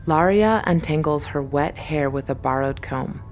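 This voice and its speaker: A woman's voice: low-pitched, normal speed, a little mellow, soft background music. A woman reading scripted narration for an audio drama space adventure. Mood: measured.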